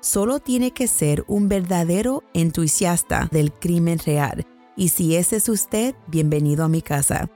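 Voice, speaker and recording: A woman's voice: fairly low pitch, measured pace, warm tone, soft background music. A woman with an American English accent hosting a true crime podcast, reading her script in Spanish in a careful, earnest way. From United States. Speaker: podcast host.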